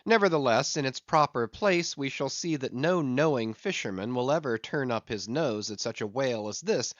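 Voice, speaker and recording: A man narrates a classic literary audiobook in a medium-pitched voice with very mobile intonation. His phrasing is deliberate and a little theatrical, suited to ornate prose. The recording is dry and close, though somewhat dull and lo-fi. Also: lively intonation, fairly bright tone, no echo. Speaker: audiobook reader.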